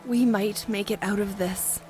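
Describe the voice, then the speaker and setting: A woman playing a tired, worried adventurer in a fantasy audio drama, speaking quietly. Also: medium pitch, fairly even intonation, unhurried, faint music behind, lightly acted. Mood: weary, worried.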